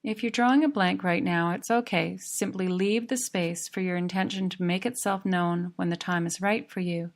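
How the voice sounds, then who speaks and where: A woman narrating a guided yoga relaxation in a calm, measured, soothing manner. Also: lowish voice.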